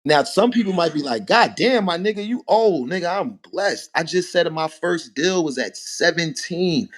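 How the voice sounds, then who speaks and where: A man, a rapper telling stories as a podcast guest in a relaxed, animated way. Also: on the high side, normal speed. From Harlem, New York. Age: 40s. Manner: animated storytelling, rhetorical asides. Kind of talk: casual podcast conversation.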